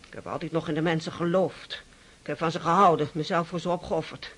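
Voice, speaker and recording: Pious bitter spinster in a radio drama, husky voice, poor-me martyr tone. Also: female voice, low voice, steady tone, fast, a bit bright. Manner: self-pitying, martyred. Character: aggrieved, pious unmarried niece of a dying count.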